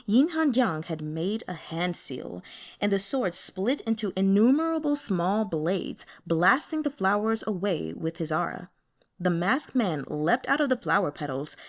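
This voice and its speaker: A woman's voice: medium pitch, big pitch swings, unhurried. A woman reading a fantasy novel aloud as scripted audiobook narration. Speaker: audiobook reader.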